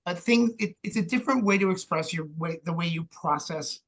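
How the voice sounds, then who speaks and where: An American man chatting casually as an interview guest, animated and haltingly thinking aloud. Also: high-pitched. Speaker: actor, director and playwright, podcast guest.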